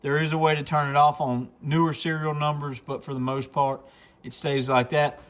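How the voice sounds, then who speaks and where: A man's voice: a little high, flat delivery, normal speed. A man giving a casual, unscripted product walkthrough in an informal, explanatory way as host, recorded in a shop. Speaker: radio shop host demonstrating a CB radio. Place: radio shop. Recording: clear background noise with radio clicks.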